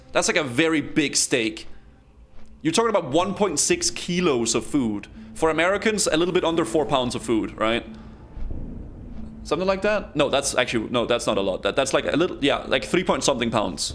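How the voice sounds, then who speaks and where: A man talks to his chat in an excitable, chatty way while he plays. His voice sits a little high and swings up and down a lot. Faint game music plays behind him. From Denmark. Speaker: streamer. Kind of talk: casual live-stream commentary.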